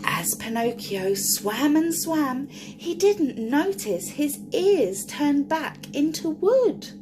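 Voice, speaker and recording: A woman's voice: high-pitched, big pitch swings, slow, background noise. A woman reading a picture book aloud expressively for young children, drawing out key words and speaking to the listeners. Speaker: children's storyteller.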